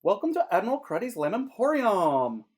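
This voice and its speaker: A woman's voice: medium pitch, very animated, normal speed, darkish tone. A woman voicing a welcoming factory gate guard in an audio play, exclaiming as she talks. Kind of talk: scripted audio play acting.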